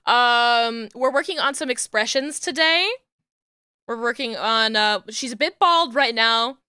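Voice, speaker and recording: High, bright-voiced New Jersey woman. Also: unhurried, clear, resonant tone, no echo, studio quality. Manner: soft murmuring while working, bursting into loud squealing greetings. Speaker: VTuber streamer rigging a model. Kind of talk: casual live-stream talk while working.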